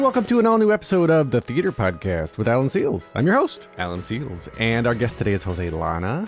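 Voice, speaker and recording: A man hosts a theater interview show, chatty and upbeat. His pitch rises and falls in a lively way. The sound is close and dry, with no room around it. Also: no room sound. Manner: upbeat, chatty, uses fillers. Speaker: podcast host. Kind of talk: casual interview chat.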